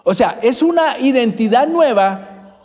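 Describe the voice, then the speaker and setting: A high-voiced man with a Bogotá Colombian accent preaches slowly, with his pitch swinging widely. He speaks to members of the congregation by name. His voice carries a long reverb from a church hall. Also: animated, darkish tone. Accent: Bogotá Colombian Spanish. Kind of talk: sermon.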